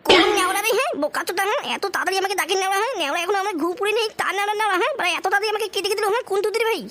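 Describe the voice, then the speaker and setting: Squeaky, rapid, bratty cartoon boy. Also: sharp tone, slight background noise. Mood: irritated. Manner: whiny grumbling, crude, repeated question tags. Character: grumpy, foul-mouthed bratty boy. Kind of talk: amateur comic cartoon dub.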